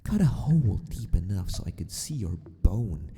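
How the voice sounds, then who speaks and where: A man playing a sadistic, possessive mad scientist in an ASMR roleplay, gloating and teasing with mock courtesy in a husky near-whisper. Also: low voice, very animated, moderate pace, mellow.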